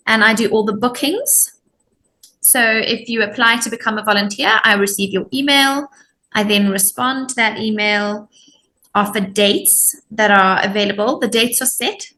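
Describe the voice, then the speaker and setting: Bright-voiced woman. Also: mid-range voice, low-quality recording. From Zimbabwe. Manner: friendly, informative, with many ums. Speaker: volunteer program coordinator.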